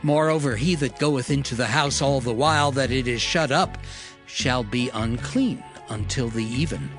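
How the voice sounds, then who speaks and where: A man narrating scripture from a script in a measured, solemn manner. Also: medium pitch. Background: background music.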